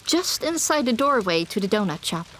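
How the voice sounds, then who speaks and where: A woman narrating a first-person fantasy audiobook in an expressive, dramatic storytelling manner. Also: fairly high pitch, normal speed, a little hiss or hum.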